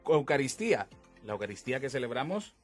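Young man, medium pitch, emphatic, repetitive, conversational religious explaining, light music behind. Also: very animated, brisk. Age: 20s. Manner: emphatic, repeats phrases, acts out quotes. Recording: music under the talk.

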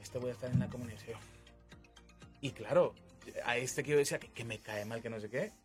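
A man's voice: medium pitch, brisk. A man, speaking as a priest in an informal religious conversation, emphatic and repeating phrases as he explains. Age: 20s. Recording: music under the talk.

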